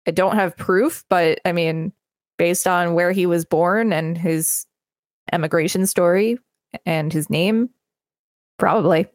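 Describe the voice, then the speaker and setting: A woman's voice: lowish voice, measured pace, dry recording, studio quality. A woman podcast co-host reading encyclopedia material aloud and chatting casually between passages.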